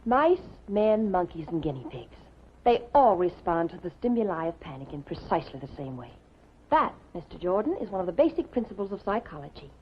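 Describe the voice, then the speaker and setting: An American actress playing an icy, sharp-tongued young proofreader in a 1950s television drama, haughty, clipped and lecturing. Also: female voice, lowish voice, big pitch swings, brisk. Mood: indignant lecturing. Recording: muffled, noisy 1950s television soundtrack.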